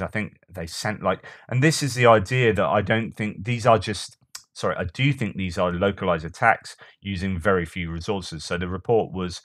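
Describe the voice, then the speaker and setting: A man's voice: low voice, fairly bright tone, no echo, clean studio sound. A man commenting on war news in a casual, thinking-aloud way, with frequent fillers and restarts.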